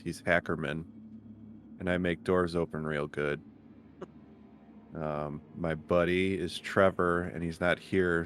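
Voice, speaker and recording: Deep-voiced man, casual tabletop player. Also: slight background noise. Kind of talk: casual joking chat.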